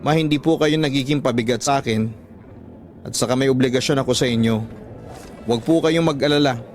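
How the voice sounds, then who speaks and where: A man's voice: mid-range voice, fairly quick, a little mellow, lightly acted. A man with a Filipino accent playing a dutiful, hard-up young student speaking respectfully in a radio drama. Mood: reassuring. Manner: earnest, respectful. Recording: background music under the voice.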